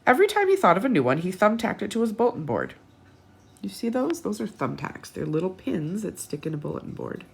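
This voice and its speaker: A woman reading a picture book aloud to children expressively, pausing for asides and questions to her young listeners. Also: medium pitch, big pitch swings, creaky, slight background noise. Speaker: children's storytime host.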